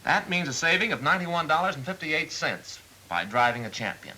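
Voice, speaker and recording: An actor playing a smooth, persistent car salesman with polite, persuasive, methodical patter in a mid-century training film. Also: fairly high pitch, big pitch swings, a little fast, pushed and bright, heavily acted, low-quality recording. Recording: old film soundtrack with faint hiss.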